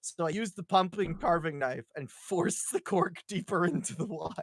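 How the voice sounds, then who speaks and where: A woman's voice: lowish voice, moderate pace, dry recording. A woman chatting and joking playfully as a cast member during a casual live table read. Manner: playful, bantering.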